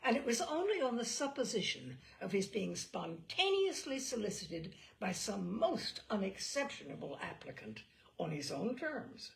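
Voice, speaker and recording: A woman narrating literary fiction with a very animated, swooping intonation. Her voice often drops into a creaky fry. She reads in a measured, storytelling way, stressing some phrases and hushing others. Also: mid-range voice. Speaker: audiobook reader and storyteller. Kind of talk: scripted literary narration, reading a classic novel aloud.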